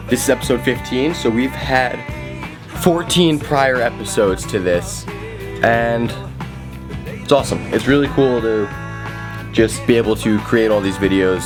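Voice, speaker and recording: A 21-year-old man hosting his ski vlog, talking upbeat and straight to his viewers. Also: lowish voice. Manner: upbeat, addresses viewers directly. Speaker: vlog host. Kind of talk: casual YouTube intro and interview.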